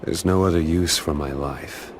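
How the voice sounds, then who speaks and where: An actor playing a weary, haunted warrior in a video game cutscene, speaking grimly and tersely. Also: male voice, very deep voice, fairly even intonation, normal speed. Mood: weary, resigned. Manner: grim, terse. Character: weary, haunted warrior who lost his family. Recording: noisy game cutscene audio.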